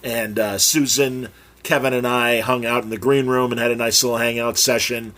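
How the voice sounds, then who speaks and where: A man's voice: mid-range voice, flat delivery, normal speed, pushed and bright. A man hosting a podcast, introducing guests in a casual, stop-and-start way. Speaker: podcast host.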